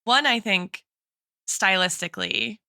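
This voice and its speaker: A woman with a bright, forward voice discusses books on a podcast like a thoughtful teacher. She reasons out loud, qualifying her points as she goes. The sound is dry and close. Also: medium pitch, unhurried, no room sound. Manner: reflective, analytical, hedging with frequent tags like "right" and "like". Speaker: podcast host and guest expert, a teacher.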